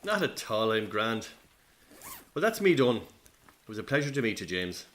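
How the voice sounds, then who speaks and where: A man's voice: on the low side, big pitch swings, moderate pace, a bit bright. A man with an Irish accent playing a polite, chatty interviewer who records veterans' statements in a radio play. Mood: courteous. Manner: courteous, chatty.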